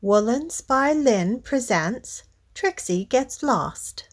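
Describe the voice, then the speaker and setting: A woman narrating a children's storybook read-aloud in a deliberate way, addressing young listeners directly. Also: fairly high pitch, lively intonation.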